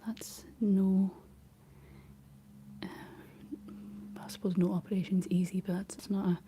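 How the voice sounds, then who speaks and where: A young Scottish woman speaking in a soft, breathy whisper, chatting casually and gently in an ASMR style. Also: medium pitch, little pitch movement, slow. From Scotland. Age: young adult. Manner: gentle, rambling chat while painting. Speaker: ASMR streamer reading and answering live chat. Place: living room.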